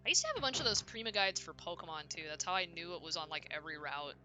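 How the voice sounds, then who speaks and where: A young woman chatting casually and loudly on a game livestream, rambling and often cutting herself off as she plays. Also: on the low side, animated, quick pace, soft background music. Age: young adult. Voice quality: loud voice. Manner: rambling, self-interrupting, frequent "like". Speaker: video game streamer. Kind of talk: casual livestream chat while playing.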